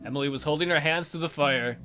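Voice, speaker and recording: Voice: medium pitch, quick pace, pushed and bright, faint music behind. A game player reading a story aloud as narrator and breaking off for wry, teasing commentary. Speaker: let's play host.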